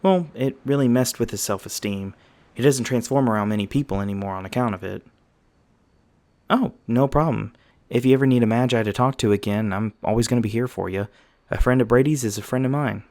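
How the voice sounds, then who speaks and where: A man's voice: mid-range voice, a little fast, darkish tone, lightly acted. A man voicing a loyal young mage on lookout in an ASMR roleplay, talking in a casual, wry, reassuring way. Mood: reassuring, candid. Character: loyal, chatty young mage lookout, protective old friend.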